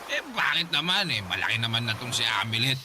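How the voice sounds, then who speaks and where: A man's voice: medium pitch, very animated, fast talker, noisy background, lightly acted. A man playing a pushy, leering, over-familiar drinking buddy in a radio drama. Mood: cajoling.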